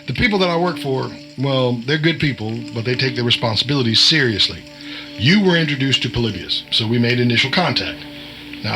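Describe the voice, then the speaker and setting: A man's voice: medium pitch, natural voice, rough recording. A man playing a cagey, wisecracking veteran insider in an audio drama, with a folksy, chiding, cryptic manner. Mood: guarded, explaining. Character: cagey, wisecracking male veteran insider and short-order cook.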